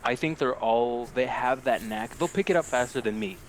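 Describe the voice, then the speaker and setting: A man's voice: mid-range voice, normal speed. Young man chatting casually over noisy audio. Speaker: podcast co-host. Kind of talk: casual film chat. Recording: clearly noisy amateur podcast audio.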